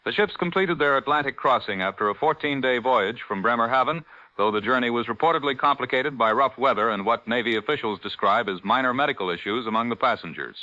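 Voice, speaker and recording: A man playing a measured, authoritative 1940s radio newscaster, speaking formally in a radio drama. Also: mid-range voice, pushed and bright, dry recording, natural voice. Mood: serious. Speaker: radio announcer and news anchor. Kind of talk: 1940s radio broadcast acting. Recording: dull vintage radio broadcast sound.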